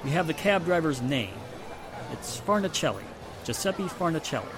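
Man playing a brisk 1940s radio police inspector. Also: a little high, sing-song. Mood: matter-of-fact. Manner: matter-of-fact, assertive. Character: brisk, matter-of-fact male police inspector in 1940s mystery. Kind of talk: 1940s radio drama acting. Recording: music and street sounds behind the voice.

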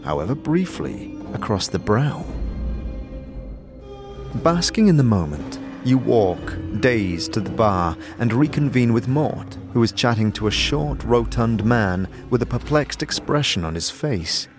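A man narrating an audio drama from a script in a measured, atmospheric storytelling manner. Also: medium pitch, big pitch swings. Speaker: narrator and voice actor. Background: music.

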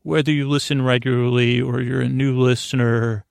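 Male sleep-podcast host, creaky fry, dry close sound, halting and meandering delivery. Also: mid-range voice, little pitch movement, dry recording. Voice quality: creaky, gravelly. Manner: meandering, halting, trailing off mid-thought. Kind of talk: rambling bedtime sleep podcast monologue.

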